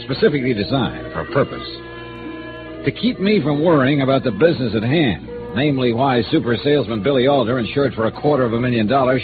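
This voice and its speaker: An actor playing a wry American insurance investigator with a dry, clipped, wisecracking delivery in a 1950s radio noir drama. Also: male voice, mid-range voice, lightly acted. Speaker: detective narrator and lead. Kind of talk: 1950s radio noir acting, first-person narration. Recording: tinny, band-limited old radio recording.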